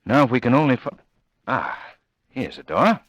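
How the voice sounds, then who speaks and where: An actor playing a suave, confident amateur sleuth in an old radio mystery, urbane, wry and affectionate. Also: male voice, medium pitch, big pitch swings, normal speed, a bit bright, no echo, lo-fi sound. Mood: brisk, reassuring.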